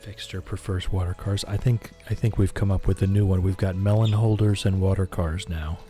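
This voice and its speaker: A man with a very low, dark and relaxed voice hosts a cozy game stream. He talks gently to his viewers in a calm, soothing, friendly way, with soft music playing faintly behind him. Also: fairly quick. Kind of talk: calm, soothing livestream chat over gameplay.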